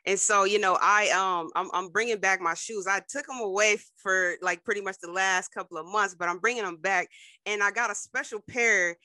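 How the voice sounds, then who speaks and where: A woman's voice: medium pitch, steady tone, moderate pace, bright, forceful tone, no room sound. A woman hosting an interview podcast, chatting casually with slang and a sing-song, upbeat delivery. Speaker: podcast host.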